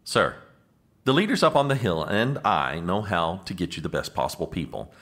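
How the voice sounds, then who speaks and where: Man playing a deep-voiced American political aide. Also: big pitch swings, normal speed, fairly bright tone, full character voice. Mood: patiently explaining. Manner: formal, patient, managing. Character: exasperated, officious male presidential chief aide. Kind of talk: comedic audio drama acting.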